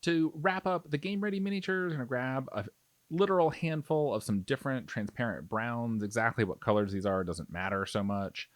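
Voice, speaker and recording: A man's voice: mid-range voice, brisk, dry recording. A man narrating a hands-on tutorial in a casual, conversational way as the host. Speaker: miniature painting tutorial host.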